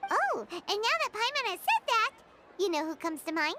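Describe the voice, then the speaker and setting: A woman voicing a tiny, excitable fairy companion in a video game, with a squeaky childlike voice and a chirpy, exclamatory manner. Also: moderate pace, very noisy. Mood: chatty. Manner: chirpy, exclamatory, refers to self by name. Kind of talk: video game dialogue acting.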